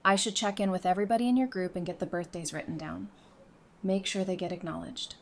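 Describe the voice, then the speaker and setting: A woman's voice: lowish voice, fast talker, natural voice. A woman playing a friendly, knowledgeable member of a survivor community in an audio drama, speaking in an easygoing, explanatory way. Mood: upbeat.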